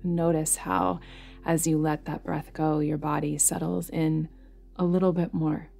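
Female meditation guide, deep register, flat soothing delivery, unhurried phrasing with pauses. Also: creaky. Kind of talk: guided yoga nidra meditation narration. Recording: music behind the voice. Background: music.

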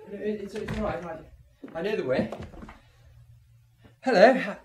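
An actor playing a timid, flustered guest who speaks in a hesitant, stammering way in a radio drama. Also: male voice, high-pitched, takes it slowly. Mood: flustered, nervous. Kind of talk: vintage radio drama acting. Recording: echoey, distant, noisy old broadcast.

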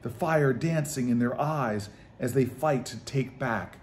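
A man voicing an eager, curious young granddaughter who narrates a storybook in an expressive, wide-eyed way. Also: mid-range voice, unhurried, natural voice. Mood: excited.

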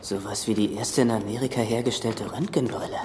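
A man's voice: lowish voice, steady tone, brisk, background noise, lightly acted. A man acting a cheeky, talkative young male sidekick in a German film dub, a little smug. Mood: curious. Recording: film soundtrack with music behind.

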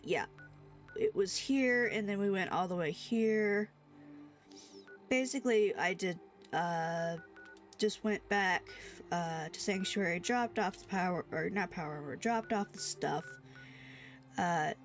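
A woman's voice: fairly low pitch, measured pace, faint music behind. A young woman with a stuffy cold, rambling sleepily and full of fillers while playing a video game. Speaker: gaming streamer. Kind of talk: casual let's-play commentary.

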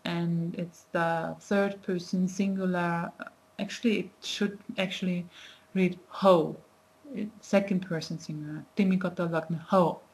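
Young woman teaching a language on webcam, slow and chatty, kinda low voice, lots of ums. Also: a little mellow, poor audio. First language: not Nepali. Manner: chatty, thinking aloud with many fillers. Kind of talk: casual webcam language lesson. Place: small indoor room, plain wall. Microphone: webcam at desk distance.

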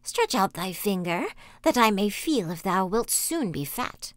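A woman's voice: mid-range voice, big pitch swings, measured pace, fairly bright tone. A wicked old witch from a storybook, as a woman reader puts her on. She commands and scolds with mocking cruelty, and can feign kindness. The sound is dry, without room echo. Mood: commanding. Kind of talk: dramatic fairy-tale storybook reading.

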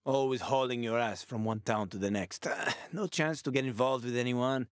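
A man's voice: mid-range voice, moderate pace, clear, resonant tone, dry recording, studio quality, close to an everyday voice. An actor playing a roguish, wisecracking male survivor in a video game, talking in a casual, teasing, sweary way. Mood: wry, friendly.

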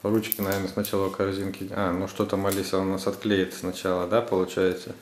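Very deep-voiced dad in a roomy recording. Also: male voice, monotone, fast. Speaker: father guiding his children through a craft. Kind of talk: casual instructions to children. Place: indoors, living room at home. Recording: roomy home recording with noise.

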